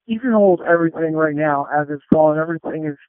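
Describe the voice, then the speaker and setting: A man's voice: a little high, fast talker, no room sound. A man, a fire officer on scene, giving matter-of-fact reports over two-way radio. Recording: tinny, dry radio transmission.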